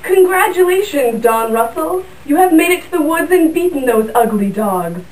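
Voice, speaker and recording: A woman's voice: high voice, expressive, moderate pace, darkish tone. A young woman voicing a proud, vain male rooster in a class puppet show, with stately old-fashioned phrasing. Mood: sly. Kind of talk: school puppet show acting. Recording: roomy sound from a distant mic.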